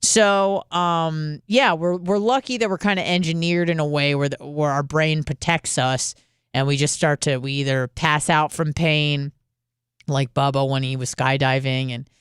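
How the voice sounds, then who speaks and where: Crisp-voiced profane female radio host. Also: deep voice, steady tone, moderate pace, no room sound, clean studio sound. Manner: rambling, profane, frequent fillers. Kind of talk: casual call-in radio talk.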